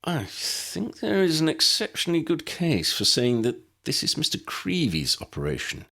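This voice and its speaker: A man voicing an urbane, wry male wizard in an audiobook reading, speaking in a measured, formal way. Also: a little high, huge pitch swings, creaky, pushed and bright, voice pushed up, exaggerated voice.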